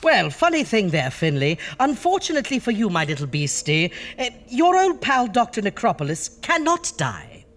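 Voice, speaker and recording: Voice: mid-range voice, huge pitch swings, normal speed, few pauses, a bit bright. A performer playing a flamboyant undead doctor who hosts a campy horror radio show with theatrical, mock-sweet delivery. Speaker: host.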